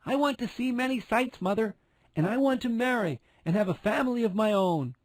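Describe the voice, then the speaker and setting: A man voicing a tiny, gentle, polite young girl with a wistful manner in a storybook. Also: sits high, lively intonation, a little fast, no echo, pitched above the performer's own voice. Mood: wistful, earnest. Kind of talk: storybook character voice.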